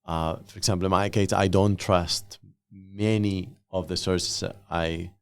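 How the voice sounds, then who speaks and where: A man hosting a podcast and interviewing guests in a casual, conversational way, with a gravelly voice. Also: low-pitched, fairly even intonation, unhurried.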